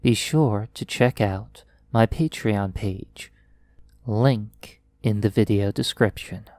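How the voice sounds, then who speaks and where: Slow, dry-recorded hypnotist, soothing and deliberate. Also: mid-range voice, a little mellow, no echo. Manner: soothing, deliberate, pausing between short phrases. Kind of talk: sleep hypnosis roleplay, soft guided narration.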